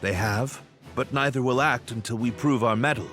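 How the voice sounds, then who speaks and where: A calculating royal strategist from a video game a man's performance speaks in a medium-pitched voice. He is formal and sober, laying out each clause with measured, articulate care. A musical score runs clearly behind him. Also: over music, natural voice. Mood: grave, strategic.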